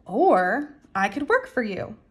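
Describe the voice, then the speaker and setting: A woman's voice: fairly high pitch, sing-song, slow pace, slightly rough, heavily acted. A woman reading the part of an eager, polite preacher's daughter, earnest and folksy, in a children's story. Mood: hopeful bargaining.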